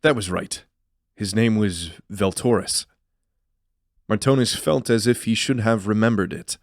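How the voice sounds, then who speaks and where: Low-pitched man narrating a fantasy tale. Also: no room sound, studio quality. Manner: measured storytelling. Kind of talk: scripted fantasy drama narration.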